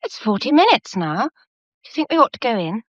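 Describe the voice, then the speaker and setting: Anxious young woman, highish voice, lots of up and down, old radio drama. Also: sing-song, moderate pace, no room sound, highs cut off. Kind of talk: period radio drama acting.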